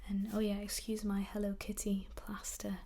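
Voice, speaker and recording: A young woman whispering in a soft-spoken ASMR chat, slightly croaky while getting over an illness, with frequent ums. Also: mid-range voice, completely flat delivery, moderate pace, slight background noise. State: recovering from illness, at times croaky. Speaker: ASMR creator.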